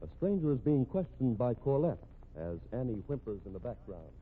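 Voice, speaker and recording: A male announcer reads scripted narration for a vintage radio mystery. His delivery is very animated, with a slightly muddy voice on a narrow old broadcast recording. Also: medium pitch, brisk, breathy edge.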